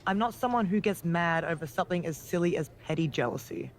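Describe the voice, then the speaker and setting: A woman's voice: on the low side, lively intonation, fast talker, slight background noise. A woman playing a cool, menacing, self-assured female wrestling villain in a video game cutscene.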